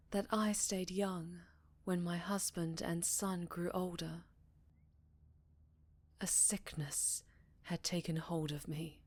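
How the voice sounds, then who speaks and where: A woman playing a haunted, sorrowful vampire in an ASMR roleplay, telling her tale slowly with a Southern accent and a breathy voice that often slips into whispers. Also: fairly low pitch, dry recording. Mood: wistful, recounting. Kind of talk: ASMR roleplay, intimate dramatic storytelling.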